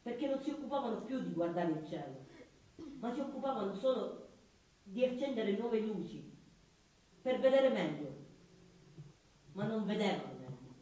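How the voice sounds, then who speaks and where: An actor playing a gentle, timid, melancholy man in a stage play, with terse, plain, wistful delivery. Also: high voice, very animated, moderate pace, pauses often, recorded from a distance, dull audio. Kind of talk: intimate stage theatre acting. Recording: very echoey theatre stage from afar.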